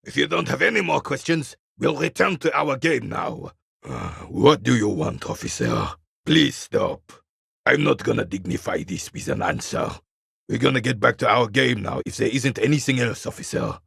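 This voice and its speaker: An actor playing a bitter, proud old war veteran in a video game, speaking with a gravelly rasp and curt, scornful disdain. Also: male voice, mid-range voice, animated, normal speed, fairly bright tone, no echo, studio quality, heavily acted. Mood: curt, dismissive. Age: older. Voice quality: gravelly, raspy. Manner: curt, scornful, dismissive, old-soldier pomp. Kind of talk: video game character dialogue.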